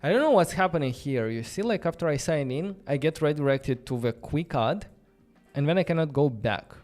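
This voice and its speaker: A man's voice: on the high side, lively intonation, normal speed, darkish tone. A man with a Romanian accent, a non-native English speaker, hosting a casual live-coding stream and thinking aloud in a loose, chatty way full of fillers. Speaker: host of a coding livestream. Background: faint background music.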